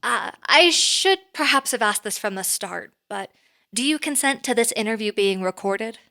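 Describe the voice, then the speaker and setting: A woman's voice: fairly high pitch, animated, moderate pace, creaky, bright, forceful tone, exaggerated voice. A woman playing a poised female boss in an audio drama, speaking formally and precisely as she earnestly interviews an applicant. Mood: polite, curious. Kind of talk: audio drama acting, conversational.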